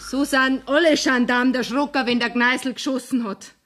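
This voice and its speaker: A high-pitched, brash young Bavarian tavern woman. She is drunk and bitter, and taunts in coarse dialect in radio-play style. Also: fairly even intonation, normal speed, fairly bright tone. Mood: mocking. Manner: brash, mocking, coarse-mouthed. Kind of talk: 1970s German radio play acting.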